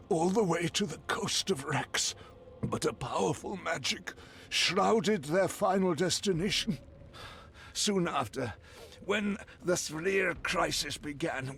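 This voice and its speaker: An actor playing a defeated captive fighter in a fantasy game, grave and deliberate as he earnestly gives warning. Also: a little high, gravelly, fairly bright tone, exaggerated voice. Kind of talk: dramatic fantasy game acting.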